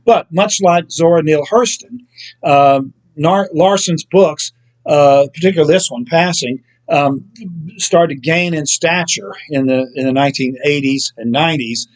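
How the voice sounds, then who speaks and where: A man with a slightly higher-than-average voice hosts a book review, explaining an author's background in a loose, unscripted way. He fills his pauses with ums and uhs and often stops to correct himself. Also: low-quality recording. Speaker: book reviewer, show host. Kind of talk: casual spoken book review.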